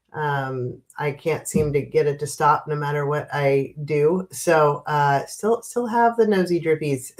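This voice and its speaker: A woman in her fifties hosting a casual livestream, chatty and greeting viewers by name, with a stuffy, congested nose from a cold. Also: medium pitch. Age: 50s. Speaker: livestream host.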